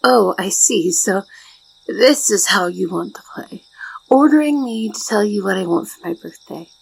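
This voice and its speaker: A woman playing a shy, devoted young maid in a soft ASMR roleplay, speaking politely and formally with flustered hesitation in a soft, breathy voice. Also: medium pitch, animated, normal speed, dry recording, rough recording. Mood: coy.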